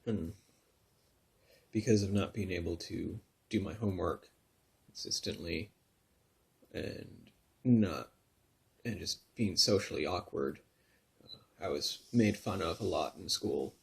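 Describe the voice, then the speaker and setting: A man in his thirties from Northern California who tells his own story in a soft, halting way, full of pauses and restarts, sometimes close to a whisper. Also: deep voice, vocal fry, slightly rough. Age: 30s. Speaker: vlogger telling his story. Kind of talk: personal confessional vlog.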